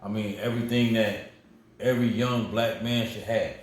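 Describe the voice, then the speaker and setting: Forty-something man, deep voice, echoey room. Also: steady tone, moderate pace. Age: 40s. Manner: rambling, repeats words, warm and proud about family. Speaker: guest. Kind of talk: casual storytelling interview. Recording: very echoey room with faint noise.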